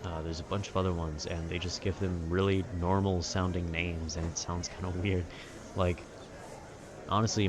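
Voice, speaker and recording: A man in a calm, casual, nerdy ramble about video games, meandering and often interrupting himself. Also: low voice. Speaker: solo audio creator rambling to the listener. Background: urban ambience.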